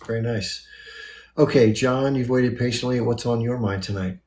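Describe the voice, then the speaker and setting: A man's voice: medium pitch, steady tone, normal speed, a little mellow, slight background noise. A man hosting a live stream, casually moderating callers.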